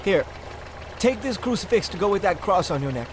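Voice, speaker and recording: High-voiced, folksy old bus driver. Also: male voice, animated, fairly quick, noisy background. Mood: helpful, eccentric. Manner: folksy, rambling, colloquial. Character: eccentric, superstitious old male New England bus driver, folksy and warning. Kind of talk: comedic old-time radio play acting.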